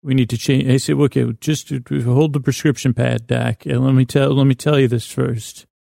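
A man hosting a bedtime podcast with a rambling, absurd comic monologue, halting and often losing his thread, his words a bit slurred and mumbled. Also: mid-range voice, fairly even intonation, gravelly, dark tone, no room sound, clean studio sound.

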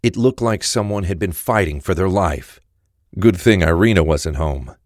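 A man's voice: low voice, moderate pace, no room sound, studio quality. A man reading scripted narration for an audio drama.

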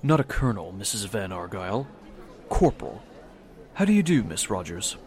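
A man's voice: lowish voice, very animated, moderate pace, darkish tone, a faint crowd. A man playing a polite, modest young army corporal in a 1940s-style radio drama.

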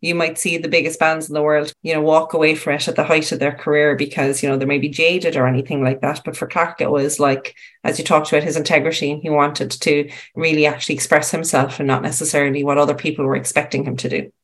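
Woman co-hosting a podcast, casual chat, fairly low-ish female voice. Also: little pitch movement, quick pace, few pauses. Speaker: podcast co-host. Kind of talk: casual discussion.

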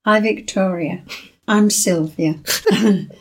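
An elderly woman, answering a granddaughter's questions in brief replies with soft laughs. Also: medium pitch, takes it slowly. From Lancashire, England. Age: 80s. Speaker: guest storyteller. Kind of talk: casual interview chat.

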